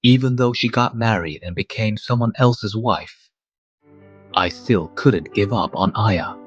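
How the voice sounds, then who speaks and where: A man playing a mild, wistful adult guardian, narrating a manga dub in a measured, reflective way. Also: on the low side, slightly rough, lo-fi sound, soft background music. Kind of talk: scripted first-person manga dub narration.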